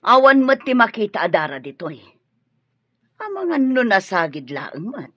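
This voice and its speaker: Sharp, husky, nagging housewife. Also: female voice, medium pitch, sing-song, moderate pace, no room sound, highs cut off. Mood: complaining. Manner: sharp, complaining. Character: nagging, callous housewife who exploits a girl's chores. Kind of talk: radio drama acting.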